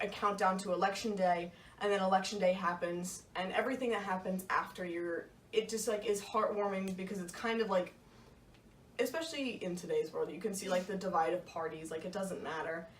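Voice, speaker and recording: A woman's voice: medium pitch, steady tone, normal speed. A young woman co-hosting a casual book vlog, talking earnestly in a loose, conversational way. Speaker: book vlogger, co-host. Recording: echoey room, distant mic, faint noise.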